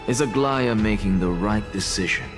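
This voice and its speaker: An actor playing a proud, scheming professor who lectures in a calm, haughty way. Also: male voice, fairly low pitch, normal speed, natural voice. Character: proud, scheming male scholar professor, middle-aged. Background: game background music.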